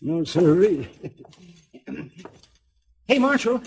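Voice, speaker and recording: A garrulous American frontier doctor in a vintage radio western, with a high, very animated voice. He is folksy and ghoulishly cheerful. Faint noise and clicks sit on the old recording. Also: drawn-out words, full character voice. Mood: concerned. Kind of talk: 1950s western radio drama acting.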